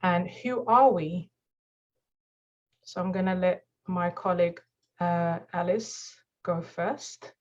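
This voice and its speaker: A woman's voice: fairly low pitch, slow pace. A woman from Mozambique, an empowerment coach hosting the meeting, presenting in an upbeat, affirming way and repeating phrases for emphasis. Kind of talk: motivational presentation on a video call. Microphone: video call. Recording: slightly dull video-call audio.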